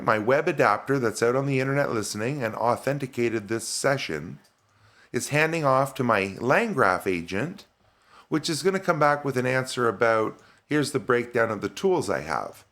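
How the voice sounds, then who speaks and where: A man with a mid-pitched voice gives a casual screen-share demonstration of software. He talks informally, thinking aloud as he goes from step to step. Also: animated, moderate pace. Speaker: software demo presenter.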